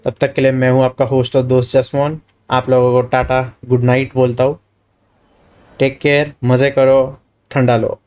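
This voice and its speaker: Fast-talking man streaming a game. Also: mid-range voice, little pitch movement, darkish tone, clean tone. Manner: informal, mixes English into speech. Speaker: gaming streamer, host. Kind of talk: casual game-stream commentary. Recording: faint game music behind. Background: faint game music.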